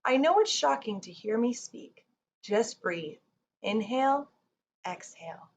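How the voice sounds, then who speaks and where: A woman's voice: fairly high pitch, animated, measured pace. A woman voicing a friendly, curious female pet puppy in a read-aloud storybook. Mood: friendly, reassuring. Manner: storybook character voice. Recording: muffled with faint background noise.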